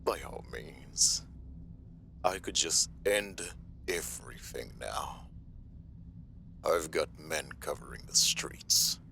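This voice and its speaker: An extremely low, creaky voice from a man acting a sadistic mobster in an audio drama. He is smug and mocking, savoring each threat. Faint noise sits behind the recording. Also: lively intonation, slow, long pauses, pushed and bright, full character voice. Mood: smug, menacing. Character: sadistic, taunting mobster villain, smug and theatrical. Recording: noticeable hiss and background noise.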